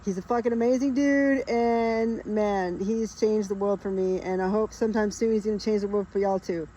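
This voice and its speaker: A woman's voice: mid-range voice, fairly even intonation, normal speed, dark tone, lo-fi sound, slight background noise. A woman in her late thirties explaining spiritual ideas in a casual, rambling monologue, emphatic and full of fillers. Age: late 30s. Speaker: spiritual vlogger.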